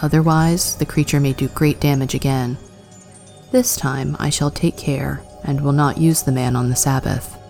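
A woman reading the part of a solemn, stern old male rabbi in a storybook tale, speaking slowly and gravely. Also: very deep voice, steady tone, warm tone, soft background music.

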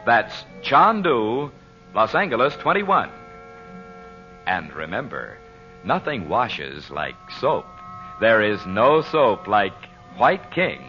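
A male American announcer delivers a vintage radio pitch. His voice is bright and very animated, with emphatic, direct salesmanship. The broadcast sound is dull, with some music behind it. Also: takes it slowly. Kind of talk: 1940s radio advertising announcing.

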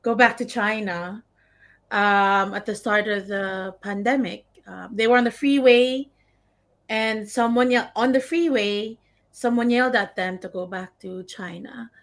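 A woman speaks in a casual panel conversation with a medium-pitched voice. She is tentative, often pausing and repeating a phrase before she carries on. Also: fairly even intonation.

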